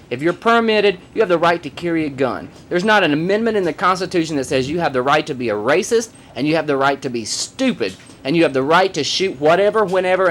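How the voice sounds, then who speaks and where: A man who performs in drag, hosting his own vlog and giving emphatic, opinionated commentary straight to the camera, hammering points home with repetition. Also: fairly high pitch. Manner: emphatic, forceful, repeats points for effect.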